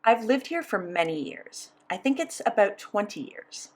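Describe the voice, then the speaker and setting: Female voice interpreter, mid-pitched, steady and measured, first-person rendering of a signed conversation. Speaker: sign language voice interpreter.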